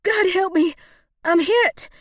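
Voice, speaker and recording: A woman's voice: very high-pitched, takes it slowly, dry recording, clean studio sound, pitched above the performer's own voice. A woman voicing a frantic, self-pitying wounded woman in an audiobook, wailing and pleading. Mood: panicked.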